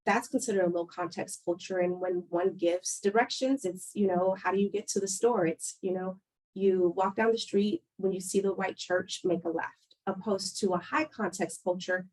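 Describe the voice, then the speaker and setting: A woman leads a panel discussion, explaining her points in a measured, thoughtful way. She pauses often with fillers as she builds her argument. Her voice sits at an ordinary middle pitch. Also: a little mellow, rough recording. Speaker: panel moderator.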